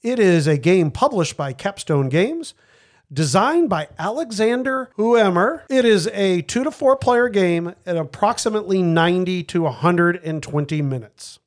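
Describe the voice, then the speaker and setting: Man, board game podcast host, casual rambling explanation, slightly high voice, dry sound. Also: lively intonation, normal speed, no room sound. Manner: rambling, many fillers and restarts.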